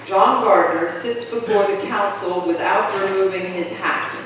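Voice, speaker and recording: Man as high-voiced scene announcer in noisy hall. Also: unhurried, fairly bright tone. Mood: matter-of-fact. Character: plain scene-setting narrator of a stage play. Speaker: stage-direction reader. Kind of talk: scripted narration, announcing scenes. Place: live stage in a reverberant hall. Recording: noisy, echoey hall from far off.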